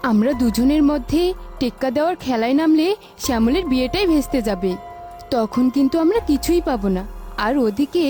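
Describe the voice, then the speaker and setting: A woman acting an earnest, dutiful woman of a family household in an animated moral story, explaining herself. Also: high-pitched, fairly quick. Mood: firm. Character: dutiful Bengali sisters-in-law and mother, women of a household. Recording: music behind the voice.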